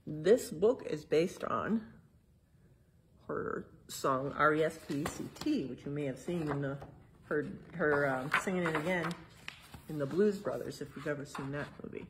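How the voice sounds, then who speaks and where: A middle-aged woman reading a picture book aloud to children in a museum hall, spelling out each page title and then explaining it gently. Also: low voice, moderate pace, a little hiss or hum. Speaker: museum storytime reader. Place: indoor museum exhibit hall.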